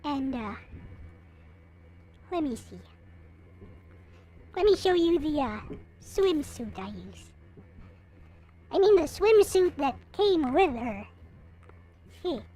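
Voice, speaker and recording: A woman giving a casual, unscripted show-and-tell as a vlogger, in a halting way as she thinks aloud. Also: sits high, sing-song, mellow. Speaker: vlogger showing collectible figures.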